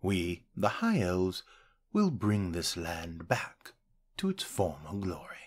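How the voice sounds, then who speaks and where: A man playing a pompous, contemptuous High Elf soldier in a fantasy roleplay, formal and sneering. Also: huge pitch swings, slow, husky, full character voice. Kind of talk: fantasy roleplay audio drama.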